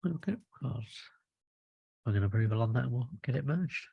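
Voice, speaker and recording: A man with a husky, gravelly voice at a middle pitch runs a work call. He moves casually through agenda items, with a dry, close sound. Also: animated, fairly quick, no echo, lo-fi sound. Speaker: meeting chair. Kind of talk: casual meeting facilitation. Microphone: video call.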